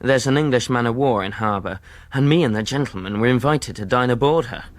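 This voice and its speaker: A man's voice: medium pitch, brisk, dry recording, close to an everyday voice. An actor playing a reflective seafarer telling his adventure in rustic speech, as radio drama narration. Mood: matter-of-fact. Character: reflective sailor narrator recalling a youthful adventure.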